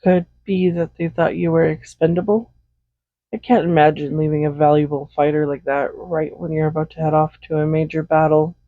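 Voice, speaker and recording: A man playing a smug, possessive villain who dotes on a captive hero in an intimate audio roleplay, soft-spoken, unhurried and condescending. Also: a little high, flat delivery, no echo, narrow, tinny audio, lightly acted. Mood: coolly condescending. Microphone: close USB condenser microphone.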